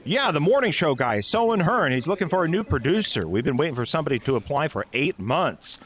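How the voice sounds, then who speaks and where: Man as a high-voiced, chatty radio station guy. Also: very animated, fairly quick, fairly bright tone. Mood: brisk, welcoming. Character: friendly, chatty male North Pole radio station worker. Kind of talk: comic Christmas radio play acting.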